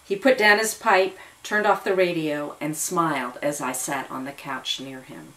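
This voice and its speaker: A woman's voice: low-pitched, unhurried, a bit bright. A woman reading a story aloud as scripted narration, with the occasional slip and restart. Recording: voice distant from the mic.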